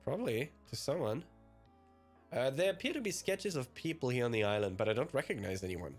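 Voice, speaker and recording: A man's voice: mid-range voice, sing-song, fairly quick, pitched above the performer's own voice. A man voicing a polite, hesitant and anxious hero with a deferential manner in a visual novel read aloud. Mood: puzzled, hesitant. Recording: music behind the voice.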